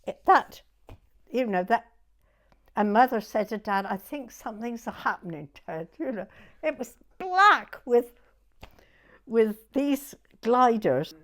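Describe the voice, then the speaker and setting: Slow-talking elderly southern English woman reminiscing. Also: a little high, animated, a bit bright. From Dorset, England. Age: 90s. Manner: rambling, often breaking off and rephrasing mid-sentence. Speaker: oral history interviewee. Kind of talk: informal spoken reminiscence.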